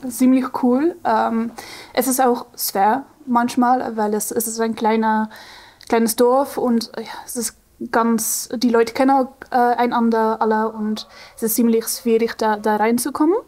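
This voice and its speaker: A Dutch woman in her mid-twenties answers interview questions informally. Her voice is on the high side and she halts as she searches for words. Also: little pitch movement, measured pace, darkish tone. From Amsterdam, Netherlands. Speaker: volunteer being interviewed.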